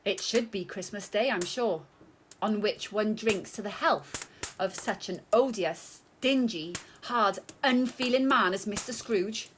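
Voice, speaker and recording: A woman's voice: medium pitch, a little hiss or hum, natural voice, poor audio. A woman playing a busy, spirited mother who runs the holiday meal and speaks tartly of a miser. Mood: indignant. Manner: brisk, spirited, tart. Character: busy, spirited mother, sharp-tongued but loving.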